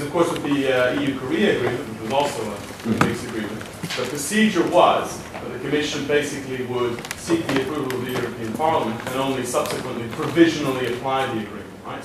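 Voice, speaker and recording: A man with a booming voice rattles off a long question from the audience, full of ums and restarts. He talks quickly. He sounds distant in a strongly reverberant hall with noticeable background noise. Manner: booming, hesitant, lots of fillers. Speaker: audience member asking a question. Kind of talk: conference question. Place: conference hall.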